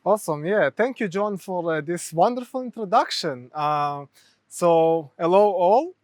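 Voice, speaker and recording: A man from Ukraine with a Ukrainian accent, a therapist telling stories from his life as a podcast guest, halting with many fillers. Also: sits high, expressive, a little mellow, no echo, clean studio sound. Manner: halting, many fillers, non-native phrasing. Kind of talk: storytelling in conversation.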